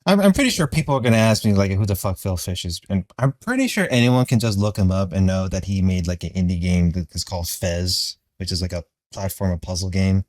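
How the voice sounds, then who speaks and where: A man's voice: sits low, lively intonation, a little fast, hardly any pauses, a little mellow, no echo, clean studio sound. A man giving casual, opinionated video commentary in a rambling, sweary way. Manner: rambling, profane.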